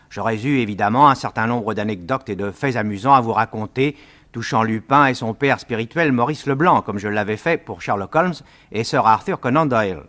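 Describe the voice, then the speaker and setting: Polite formal guy introducing old-time radio show, average pitch, measured. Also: fairly bright tone. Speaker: radio producer and presenter.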